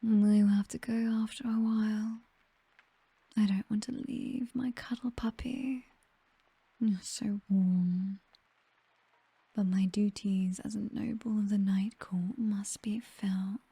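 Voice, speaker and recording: A British vampire mistress acted by a woman speaks in a very breathy, whispered voice, slowly and possessively. She dotes on her servant with teasing, commanding tenderness. It is an intimate ASMR roleplay, close to the microphone and very dry. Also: mid-range voice, steady tone, mellow, no echo, exaggerated voice. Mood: possessive, tender.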